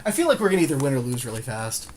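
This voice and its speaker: A man's voice: medium pitch, big pitch swings, rapid-fire, darkish tone, a little hiss or hum. A man co-hosting a game podcast, chatting casually and playfully about his moves, with jokes and swearing. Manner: playful, jokey, profane. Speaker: podcast co-host playing a card game draft. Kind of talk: casual game commentary between friends.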